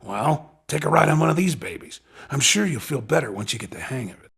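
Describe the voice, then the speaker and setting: A man playing a cocky street racing recruiter in a video game, with a raspy voice and slangy, coaxing patter. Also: medium pitch, animated, brisk, a bit bright, exaggerated voice. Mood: coaxing, slick. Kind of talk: video game character dialogue.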